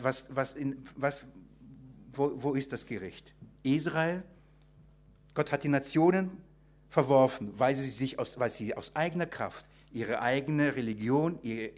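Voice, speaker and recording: A man preaching an extemporaneous sermon, emphatic and full of rhetorical questions and repetition, often restarting his sentences. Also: mid-range voice.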